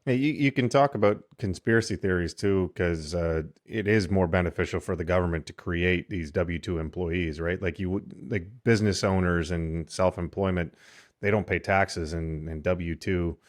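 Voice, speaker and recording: Very deep-voiced man. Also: moderate pace. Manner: rambling, explanatory, many fillers. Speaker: podcast co-host. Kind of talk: casual discussion.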